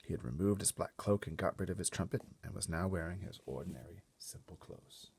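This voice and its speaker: A man reading a children's book aloud in an expressive, often hushed way, adding casual explanatory asides. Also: low voice, a little fast, vocal fry, a little hiss or hum. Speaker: storybook reader.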